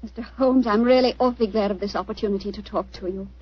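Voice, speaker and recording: A woman's voice: mid-range voice, fairly even intonation, quick pace, noisy background, natural voice. An actress playing a genteel, anxious married woman in old radio drama style, polite and theatrical. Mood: anxious, probing. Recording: muffled old radio recording.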